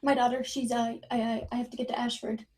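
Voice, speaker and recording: A woman playing a frightened, desperate mother in a screenplay read, with a breathy voice and anxious delivery. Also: fairly high pitch, level tone, a little mellow, close to an everyday voice. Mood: nervous, evasive. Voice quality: breathy, husky. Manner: anxious, halting. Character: frightened, desperate mother searching for her kidnapped daughter.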